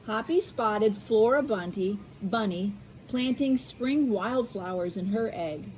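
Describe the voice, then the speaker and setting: An American woman reading a picture book aloud to children in an expressive way, adding explanatory asides. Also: medium pitch, a little hiss or hum, low-quality recording. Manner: animated, unhurried, addressing children. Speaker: school media specialist reading aloud.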